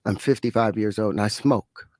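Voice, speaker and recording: A man in his fifties, a podcast guest, talking in a relaxed, unhurried way and laughing easily. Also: lowish voice, no echo. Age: 50s. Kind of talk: casual podcast conversation.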